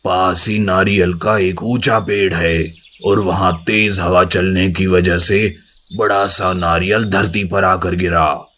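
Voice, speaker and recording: A man plays a cartoon lion who rules the jungle. His voice is very low and his delivery slow, scolding and full of authority. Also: steady tone, fairly bright tone, slight background noise. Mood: angry, scolding. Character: stern commanding male lion, king of the jungle. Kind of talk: Hindi cartoon moral story dialogue.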